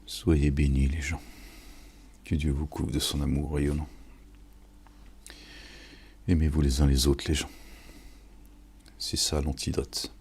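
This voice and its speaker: A man's voice: very deep voice, flat delivery, takes it slowly, long pauses, a little mellow, slightly rough. A man giving an informal, sermon-like reflection on scripture, preaching and addressing his listeners familiarly with frequent check-in questions. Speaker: online religious and political commentator.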